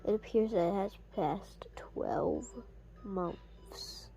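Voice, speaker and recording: A woman playing a solemn, resigned emergency alert announcer, with a halting, scripted delivery. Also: medium pitch, vocal fry, mellow, whispered.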